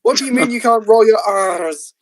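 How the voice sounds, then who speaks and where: A man's voice: high-pitched, moderate pace, no room sound, clean studio sound. A man on a stream mocking and needling the others in casual banter.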